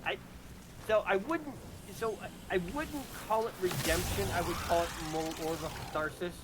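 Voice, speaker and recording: A man talking casually about a game story, haltingly, repeating words as he thinks aloud. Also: sits high, big pitch swings, heavy background noise. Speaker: host presenting his audio drama.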